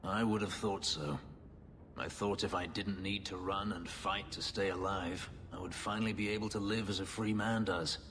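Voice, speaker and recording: Game role: bitter ex-slave elf warrior played by a man, very low solemn voice, reverberant hall sound. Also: steady tone, close to an everyday voice. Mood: brooding. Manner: brooding, solemn, measured. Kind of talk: dramatic video game acting. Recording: long hall reverb, background noise.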